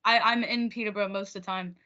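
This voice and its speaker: A woman's voice: mid-range voice, steady tone, quick pace, bright, forceful tone. A teenage American woman from Oregon, a singer chatting casually as an interview guest and laughing often. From Oregon, USA. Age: teens. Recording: dull lo-fi audio.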